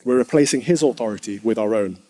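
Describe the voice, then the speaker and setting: A man, a clergyman, giving a formal, measured speech to an assembly. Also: medium pitch, dark tone. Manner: measured, firm oratory.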